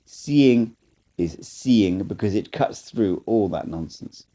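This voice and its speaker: A man's voice: on the low side, lively intonation, slow, warm tone, slight background noise. A man reading an audiobook, voicing a blunt, scolding old male sorcerer teacher. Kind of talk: audiobook character voice.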